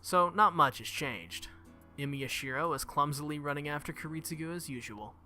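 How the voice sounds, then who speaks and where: Very bright-voiced man narrating a game. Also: on the high side, moderate pace. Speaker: gaming video host. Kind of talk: visual novel playthrough, reading narration aloud with casual reactions. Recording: music behind the voice. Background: game music.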